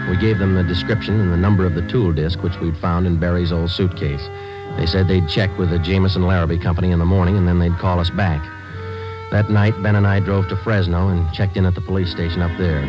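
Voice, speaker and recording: Low, deadpan radio police detective played by a man. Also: natural voice. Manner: clipped, deadpan, matter-of-fact. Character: terse, dedicated male Los Angeles police detective sergeant. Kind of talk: 1940s radio drama acting and narration. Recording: dull old radio recording with music.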